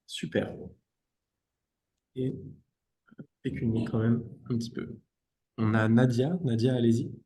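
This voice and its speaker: A man's voice: mid-range voice, flat delivery, measured pace, pauses often, a crowd in the background. A young man with a southwestern French accent leads an online training, inviting participants to discuss. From southwest France. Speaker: trainer, sales and strategy expert. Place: indoors, on a video call. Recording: roomy, echoing sound.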